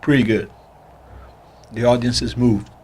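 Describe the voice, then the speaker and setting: Mid-pitched man hosting an intimate interview. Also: fairly even intonation, a little slow, a little mellow. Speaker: interview host.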